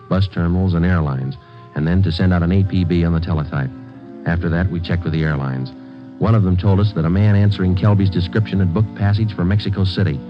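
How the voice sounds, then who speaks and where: Man playing stoic police sergeant, very low voice, American, clipped deadpan narration, muffled 1940s radio drama. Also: natural voice. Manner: deadpan, terse. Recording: dull old radio recording with music.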